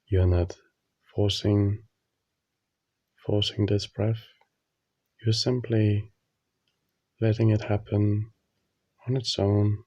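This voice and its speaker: A man leading a guided meditation, speaking calmly and soothingly with long pauses. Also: lowish voice, no echo. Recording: dull, muffled lo-fi audio.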